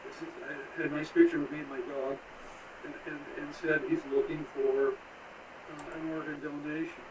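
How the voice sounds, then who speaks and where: Higher-voiced man in his seventies, distant and noisy. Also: level tone, normal speed, darkish tone. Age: 70s. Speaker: interview guest, transplant recipient. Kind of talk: unscripted personal account. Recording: distant, noisy radio link.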